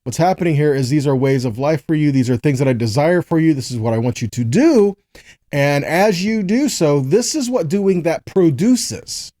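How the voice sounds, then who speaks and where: A man's voice: on the high side, animated, normal speed, warm tone, no room sound, clean studio sound. An American man giving a conversational sermon as a Bible teacher, often posing rhetorical questions and checking in with listeners. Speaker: preacher teaching a scripture lesson. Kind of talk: conversational sermon with scripture readings.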